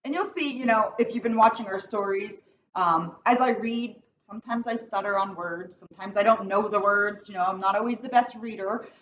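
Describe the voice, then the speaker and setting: A woman who works as a school police officer speaks to children in a plain, encouraging and slightly halting way. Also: mid-range voice, a bit bright. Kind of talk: storybook read-aloud and casual talk to children. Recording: muffled, faintly noisy, distant microphone.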